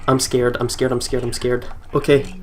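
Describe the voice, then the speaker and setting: A let's play host reading a horror visual novel aloud and commenting casually, with excitable, jumpy reactions. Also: medium pitch, normal speed, a little hiss or hum. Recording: music behind the voice. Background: game music.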